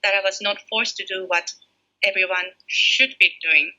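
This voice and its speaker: A middle-aged woman from Japan, raised in the United States, a preschool director giving thoughtful interview answers with many pauses. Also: medium pitch, sharp tone. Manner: thoughtful, pauses often with fillers. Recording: muffled lo-fi audio.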